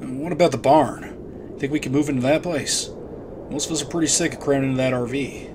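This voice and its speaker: A man's voice: mid-range voice, steady tone, brisk, noisy background, lightly acted. A man playing an easygoing, sympathetic survivor in a comic dub, casual and conversational. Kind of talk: amateur comic dub acting.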